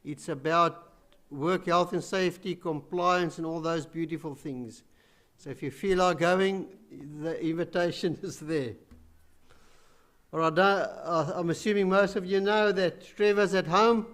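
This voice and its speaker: Brief: church elder, loud voice, slightly high, casual and self-deprecating. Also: male voice, measured pace. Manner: loud, chatty, self-deprecating, many fillers. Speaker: church elder giving welcome and announcements. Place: small church hall.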